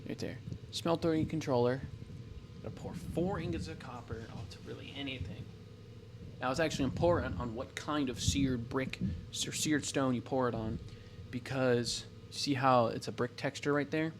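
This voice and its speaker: A man talks casually over his gameplay at a middling pitch, thinking aloud as he plays. He rambles and keeps stopping to correct himself. Faint noise sits under the voice. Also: moderate pace. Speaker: gameplay commentator. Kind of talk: casual let's-play commentary.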